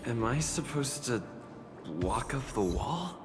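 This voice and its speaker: A man's voice: mid-range voice, very animated, slow pace. An actor playing a bewildered young male traveler in a video game, hesitant and disbelieving. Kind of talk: video game story acting. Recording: clear music behind. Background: music.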